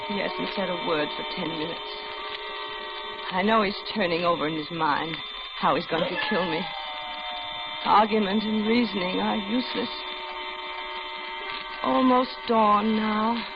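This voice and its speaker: Actress as lonely widow, muffled old radio suspense. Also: female voice, mid-range voice, measured pace, long pauses, very noisy, natural voice. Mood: dread. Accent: American. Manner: confiding, genteel, dramatically paced narration. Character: lonely older widowed office worker recounting her doom. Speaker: narrator and lead character. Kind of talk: 1940s-50s American radio suspense acting. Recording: dull old radio recording, loud music behind.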